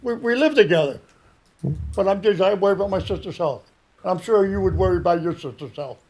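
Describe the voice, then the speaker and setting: A man with a raspy, hoarse voice, a resident speaking at public comment in a planning board meeting. He sounds worried and insistent and keeps circling back to the same points. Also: high-pitched, brisk, a little mellow, poor audio.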